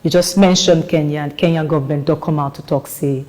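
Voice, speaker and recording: A woman's voice: low-pitched, fairly even intonation, fairly quick, a little mellow. A woman with a Nigerian accent putting questions as a news interviewer. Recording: echoey room with long reverb.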